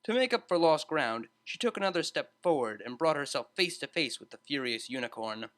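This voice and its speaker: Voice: mid-range voice, animated, a little fast, fairly bright tone. A narrator reading a scripted story as an audiobook.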